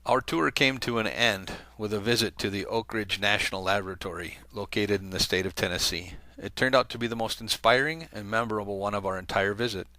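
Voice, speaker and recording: A man's voice: on the low side, brisk, few pauses, sharp tone, no room sound. A man hosting an online talk channel, reading a long letter aloud to his viewers in a conversational way, with fillers and small self-corrections. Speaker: video channel host.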